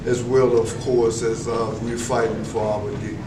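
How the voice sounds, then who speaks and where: A man, a veteran school educator giving measured, formal interview answers with many filler pauses. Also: on the low side, little pitch movement. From Texas. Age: 70s. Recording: echoey room, mic far off, clear background noise.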